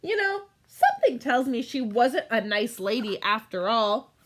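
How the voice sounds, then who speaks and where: Exasperated storybook storyteller played by a woman, unhurried and dramatic, very animated delivery. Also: a little high, voice pushed down, heavily acted. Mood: put-upon. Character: exasperated storyteller narrating a fairy tale, bickering with characters. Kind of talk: comic storybook read-aloud.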